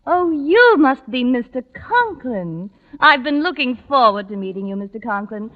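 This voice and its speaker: Flirtatious glamour journalist, cooing and falsely polite; 1940s radio comedy, dull vintage recording. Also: female voice, high voice, big pitch swings, normal speed, long reverb tail. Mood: coy, charming. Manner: coy, cooing, affected politeness. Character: glamorous, flirtatious woman magazine journalist, smooth and scheming. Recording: muffled old radio broadcast.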